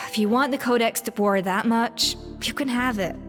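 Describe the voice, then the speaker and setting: A woman's voice: on the high side, normal speed, in a large hall, over music, close to an everyday voice. A woman playing a proud, principled young head chef in a video game, speaking in an earnest, firm, formal manner. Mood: resigned, firm.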